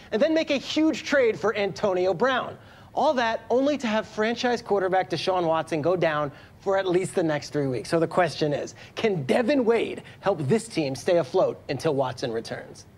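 A man's voice: high-pitched, lively intonation, a little fast. A man playing a morning football show host, presenting scripted sports news in a studio.

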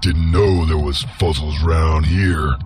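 An actor playing a gruff, laconic Old West bounty hunter in a video game, with a gravelly voice and a Western American drawl. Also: male voice, extremely low pitch, fairly even intonation. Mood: musing. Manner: slow, terse, menacing. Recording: music behind the voice.

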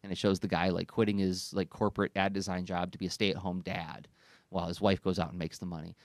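A man's voice: sits low, little pitch movement, quick pace, dry recording. A man, hosting a conversational podcast discussion into a close studio microphone. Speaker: podcast host. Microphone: close studio microphone on an arm, with headphones.

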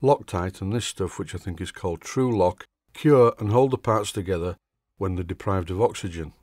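Older Yorkshire man, low-pitched, measured narrator with dry humour. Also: a little mellow, no echo. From Yorkshire, England. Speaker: hobby machinist presenter. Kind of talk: scripted workshop voice-over.

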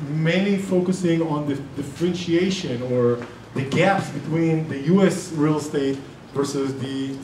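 A man's voice: a little high, a little mellow. Israeli man presenting in an echoey room. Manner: chatty, self-interrupting, joking asides. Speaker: real estate investing presenter and group organizer. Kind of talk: live seminar presentation. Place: meeting room with projector. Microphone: far camera. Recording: roomy echo, distant camera, faint noise.

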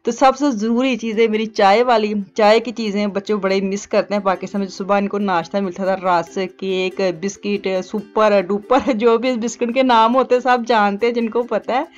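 A woman's voice: mid-range voice, lo-fi sound. A middle-aged woman, rambling homely voice-over for her shopping vlog. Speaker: vlogger. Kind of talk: chatty voice-over narration. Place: home, recorded as voice-over.

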